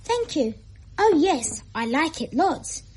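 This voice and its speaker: A woman playing an eager, imaginative, polite little boy in a radio drama, chattering excitably. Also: high voice, slow, slight background noise, exaggerated voice. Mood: eager, excited.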